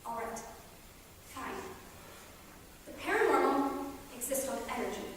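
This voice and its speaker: A woman in a staged play reading, playing an anguished, frightened wife haunted by spirits, with intense, halting delivery. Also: high voice, fairly bright tone. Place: theatre, recorded from far off. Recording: very echoey hall, distant mic, noisy.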